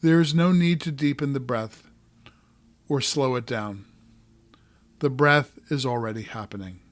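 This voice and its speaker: A man narrating a guided meditation as a coach, giving calm, gentle instructions in short, measured phrases. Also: medium pitch, dry recording.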